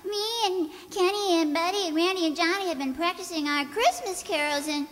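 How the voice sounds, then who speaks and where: An eager little neighbor girl from a radio comedy. Her voice is extremely high, childish and pestering, ringing in a reverberant hall. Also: moderate pace, a bit bright. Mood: eager, wheedling. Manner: childish, wheedling. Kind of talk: old-time radio comedy acting. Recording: very reverberant hall stage recording.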